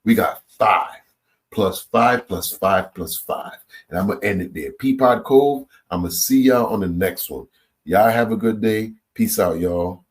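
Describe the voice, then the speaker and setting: A man from Trinidad and Tobago hosting a reaction channel, giving his opinions in a loose, animated monologue to viewers, often repeating and restarting words. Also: fairly low pitch, measured pace.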